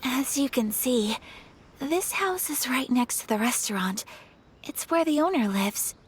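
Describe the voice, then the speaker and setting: An actress playing a gentle, polite young noblewoman in an anime-style video game, courteous and a little hesitant. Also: sits high, sing-song, a little slow, slight background noise. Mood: polite, earnest. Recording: faint game music behind.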